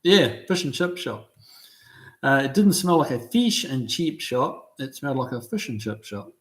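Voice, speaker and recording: A middle-aged man with a New Zealand accent, hosting a casual livestream, reading out viewer comments and answering them in a relaxed, halting way with lots of ums and restarts. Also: mid-range voice. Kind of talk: casual chat.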